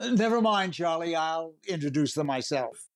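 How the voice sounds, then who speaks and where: Man playing an earnest cheerful radio host, lively voice, a bit high. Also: normal speed, lightly acted. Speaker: show host. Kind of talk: 1930s radio comedy acting.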